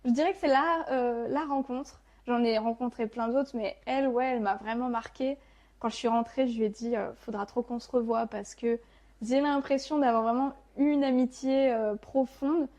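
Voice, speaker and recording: Higher-pitched young Frenchwoman telling her story. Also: fairly even intonation, moderate pace, darkish tone, highs cut off. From France. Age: 20s. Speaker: podcast guest. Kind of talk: casual personal storytelling.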